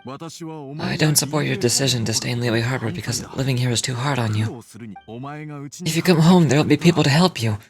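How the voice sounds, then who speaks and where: A woman's voice: rumbling low, fairly quick, pauses often, music in the background, pitched below the performer's own voice. A woman voicing an earnest middle-aged father, stern but caring, in a fantasy game. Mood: earnest, concerned.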